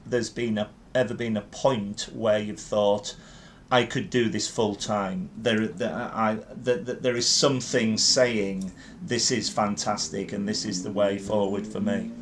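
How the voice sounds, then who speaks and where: A man's voice: on the low side, slight background noise. A man in his fifties hosting a podcast interview, encouraging the guest and stammering with repeated words as he asks questions. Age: 50s. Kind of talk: casual interview chat.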